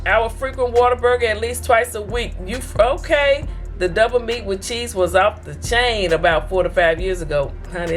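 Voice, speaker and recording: A woman from Texas, hosting an eating show and reading viewer comments aloud in a chatty, folksy way. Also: a little high. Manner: chatty, folksy, talks straight to her viewers.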